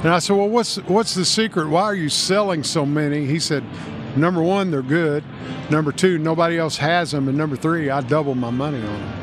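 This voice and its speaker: An older man with a Kentucky accent talks in a rambling, folksy way, often restarting his sentences. His voice sits a little high for a man, and music plays clearly in the background. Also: fairly quick. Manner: rambling, self-correcting, folksy storytelling. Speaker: interview guest, string company owner. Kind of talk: casual interview chat.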